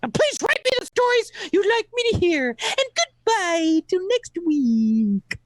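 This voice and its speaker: Woman putting on a very high-pitched radio host. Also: sing-song, unhurried, no echo, full character voice. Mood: theatrical. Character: theatrical old-time radio drama host signing off.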